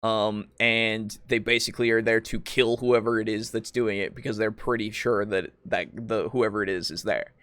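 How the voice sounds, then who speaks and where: A man co-hosting a podcast, talking casually about tabletop roleplaying in a halting, rambling way with frequent swearing. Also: on the low side, steady tone, fairly bright tone, dry recording. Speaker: podcast co-host.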